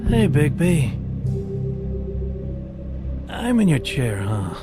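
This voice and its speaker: Cheeky, animated grumbling pig. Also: male voice, a little high, extremely slow, over music, exaggerated voice. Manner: sardonic, blunt. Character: cheeky, grumbling freeloading pig who escaped the farm. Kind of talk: video game voice acting.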